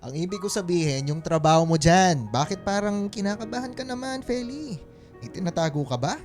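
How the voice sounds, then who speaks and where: A woman's voice: lowish voice, lively intonation, fairly quick, a little mellow, faint music behind, natural voice. A woman acting a hurt, suspicious Filipino husband in a radio drama, pleading and reproachful. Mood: probing, subdued. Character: wounded, suspicious husband, a struggling small-shop mechanic.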